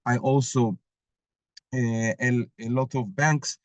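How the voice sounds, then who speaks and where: A man giving a webinar presentation as lead instructor over a video call, pausing often with filler sounds. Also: mid-range voice, fairly even intonation, no room sound, highs cut off. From Argentina. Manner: hesitant, many filler sounds.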